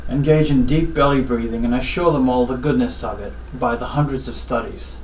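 A middle-aged man from Guyana, a surgeon giving advice in an interview in measured, deliberate short phrases with pauses. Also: medium pitch, a little mellow. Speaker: spine surgeon, interview guest. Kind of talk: interview answers, health advice. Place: small office, seated at desk. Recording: roomy, distant mic, faint background noise.

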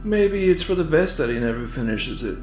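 A man's voice: on the high side, sing-song, fairly quick, music in the background. A man voicing an old grandfather in an anime fandub, a cheeky storyteller. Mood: concerned. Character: cheeky old grandfather, a grave teller of legends.

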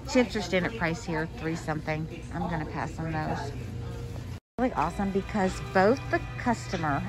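A woman's voice: fairly low pitch, poor audio. A woman from the American South chatting and thinking aloud as she films a shopping trip in a discount grocery store on a handheld phone. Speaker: vlogger. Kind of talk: casual shopping vlog chat.

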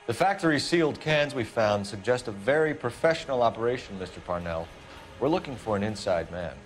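An American actor playing an earnest, stern young police detective in a video game, with a clipped, interrogating manner. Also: male voice, mid-range voice, lively intonation, fairly quick, soft background music, natural voice. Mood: businesslike. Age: young adult.

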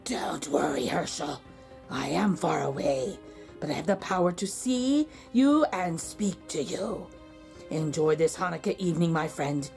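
Menacing goblin king played by a woman, storybook villain, lowered voice, slow ominous delivery, mock-friendly taunts, music behind. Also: lively intonation, vocal fry, darkish tone, exaggerated voice. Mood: ominous, taunting. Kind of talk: children's storybook reading.

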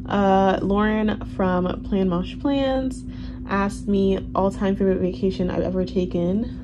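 A young woman in her twenties whose first language is Haitian Creole, chatting casually in a relaxed, rambling way full of ums and likes. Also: mid-range voice, unhurried, muffled recording. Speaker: planner vlogger. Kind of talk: casual Q&A chat over a plan-with-me. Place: indoors at a desk. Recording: audible background noise.

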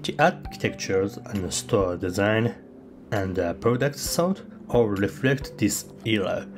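A man narrating a travel vlog in English that is not his first language, pausing with fillers and halting phrasing. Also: fairly low pitch, animated, music in the background. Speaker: travel vlogger. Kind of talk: voice-over travel guide.

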